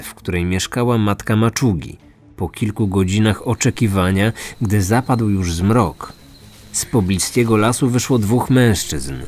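A man narrating a scripted true crime story as host, in a measured, serious manner. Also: mid-range voice, darkish tone. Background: music.

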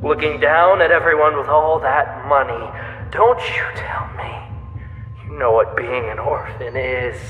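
An actor playing an unhinged, bitter, obsessive serial killer in an audio drama, halting and menacing, moving between breathy hush and outbursts. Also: male voice, on the high side, steady tone, narrow, tinny audio, natural voice. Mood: bitter, resentful. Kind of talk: theatrical audio drama monologue. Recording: echoey reverberant hall, faint music behind.

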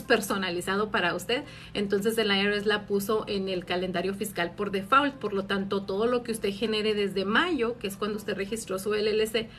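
A woman's voice: mid-range voice, a bit bright, a little hiss or hum. Woman explaining taxes live. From Mexico. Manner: friendly, explanatory, many "este" fillers. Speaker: tax advisor answering viewer questions. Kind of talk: live stream Q&A. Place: small office indoors. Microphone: phone camera at arm's length.

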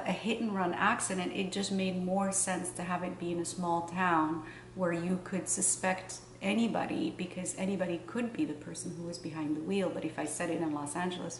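A middle-aged woman from Morocco, a novelist answering interview questions in a measured, thoughtful way with pauses, in a room in front of bookshelves. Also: fairly low pitch, vocal fry. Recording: roomy, distant mic, faint hiss.

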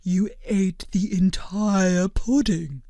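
Storybook role: a woman putting on a mild, sensible blob creature, slow and gentle, dry close sound. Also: mid-range voice, fairly even intonation, no echo. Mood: mild concerned.

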